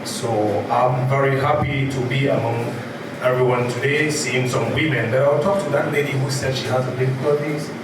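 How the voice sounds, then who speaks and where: A man gives a formal, thankful speech to a gathering, opening with "ladies and gentlemen". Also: mid-range voice, steady tone, normal speed. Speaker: safety professional addressing a gathering. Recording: echoey far-off room recording, noisy.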